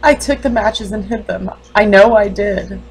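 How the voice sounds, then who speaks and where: A woman playing a grieving, defensive mother in a dramatic monologue, halting and intense. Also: medium pitch, slightly rough, voice pushed up, rough recording. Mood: anguished, grieving. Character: grieving, defensive mother confronting her doctor.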